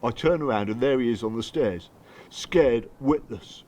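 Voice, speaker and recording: A man's voice: medium pitch, moderate pace, darkish tone, natural voice. An actor with a working-class English accent playing a protective, wounded father in a naturalistic audio drama, speaking in a colloquial, emotional way. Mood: anguished. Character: protective, wounded father recounting abuse by his wife.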